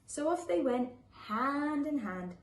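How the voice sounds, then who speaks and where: Woman, children's storyteller, expressive sing-song reading, fairly high pitch, roomy echo. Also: slow. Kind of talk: live picture-book reading for young children. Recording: echoey room with faint background noise.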